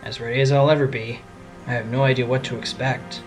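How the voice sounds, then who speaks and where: A man playing a wry, quick-witted defense lawyer in an amateur audio drama. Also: medium pitch, fairly even intonation, music in the background, close to an everyday voice, low-quality recording. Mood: determined.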